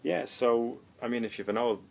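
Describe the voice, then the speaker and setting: A middle-aged man giving a casual, halting DIY demonstration as a hobbyist, thinking aloud as he goes. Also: medium pitch, creaky, breathy edge, rough recording. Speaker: hobbyist presenter.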